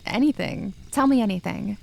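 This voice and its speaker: A woman's voice: medium pitch, moderate pace, vocal fry, a little mellow, rough recording. An actress playing an inquisitive, candid young woman in an audio drama, with a direct, probing way of talking. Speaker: actor. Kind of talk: naturalistic audio drama dialogue. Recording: music playing behind the voice.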